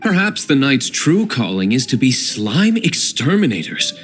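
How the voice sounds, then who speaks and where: A man's voice: medium pitch, sing-song, unhurried, soft background music. An actor playing an aloof young nobleman vigilante in a video game, curt and formal, with dry disdain.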